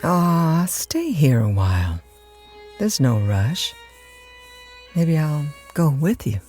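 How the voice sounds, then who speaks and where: A woman's voice: low-pitched, sing-song, slow pace, a little mellow, faint music behind, full character voice. An actress playing a flirtatious, scheming married woman in a radio drama, coy and coaxing in manner.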